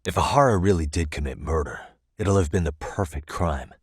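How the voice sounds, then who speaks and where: A male actor voicing a composed, determined private detective in a video game, with a measured, probing delivery. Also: very deep voice, expressive, breathy edge, dry recording, clean studio sound, full character voice. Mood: focused, determined. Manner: measured, probing, matter-of-fact. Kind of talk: English video game dialogue dub. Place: dry recording studio.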